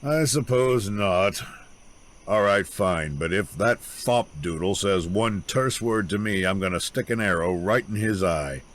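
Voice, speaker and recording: An actor playing a gruff, self-reliant woodsman with a folksy, sardonic, grudging way of talking in a fantasy audio drama. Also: on the low side, lively intonation, measured pace, fairly bright tone.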